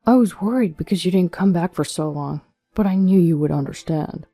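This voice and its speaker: A woman's voice: fairly low pitch, brisk, dark tone, no echo, faint music behind. A woman voicing an evasive, self-excusing husband in a narrated story, speaking in a pleading way.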